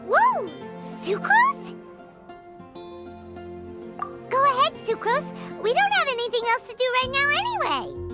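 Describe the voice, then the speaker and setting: A woman voicing a small, childlike, cheeky fairy companion in a video game, squeaky and dramatically exclamatory. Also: huge pitch swings, moderate pace, over loud music, heavily acted. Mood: excited, curious. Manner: exclamatory, dramatic, refers to self by name.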